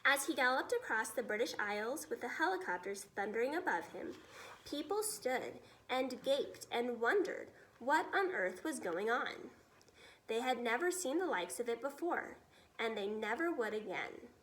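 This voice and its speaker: A woman reading a children's story aloud in an expressive teacher's storytelling style. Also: a little high, moderate pace, a little hiss or hum, low-quality recording.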